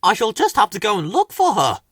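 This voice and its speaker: A woman's voice: mid-range voice, huge pitch swings, brisk, husky, no echo, studio quality, voice pushed up. A woman voicing a worried, kindly little creature with an anxious, polite manner in a children's story.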